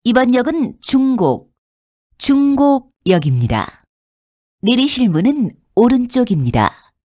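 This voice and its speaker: Female transit announcer, fairly high and very lilting, formal and polite, recorded dry. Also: sing-song, measured pace, dark tone, no echo. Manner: formal, polite, clearly enunciated. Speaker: subway announcer. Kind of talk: scripted public transit announcement.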